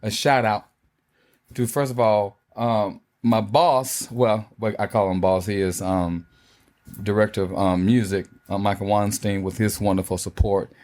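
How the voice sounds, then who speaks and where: A man's voice: on the low side, steady tone, a little slow, darkish tone. Playful man co-hosting a podcast. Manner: playful, laughs often, teasing. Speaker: podcast co-host. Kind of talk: casual chat.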